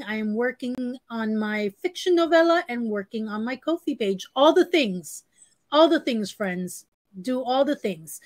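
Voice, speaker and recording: A high-pitched woman hosts a casual live stream from home, speaking over a webcam on a video call. She chats, reads comments aloud and laughs often, and her voice sounds dry and close. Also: no echo. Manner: laughs often, upbeat and chatty. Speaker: host of a live writing stream.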